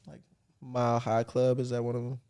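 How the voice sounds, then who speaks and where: A young man hosts a loose, slangy podcast conversation with a mid-range voice. He talks in a relaxed way and laughs often between his questions. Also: mid-range voice, fairly quick, clean tone. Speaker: podcast host. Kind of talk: casual podcast chat.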